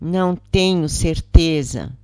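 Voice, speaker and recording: A troubled, questioning woman prisoner , read by a female narrator, speaks with a Brazilian accent. Her delivery is earnest, hesitant and slow, in a dry audiobook recording. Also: low-pitched, darkish tone, clear, resonant tone, dry recording. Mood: uncertain, troubled.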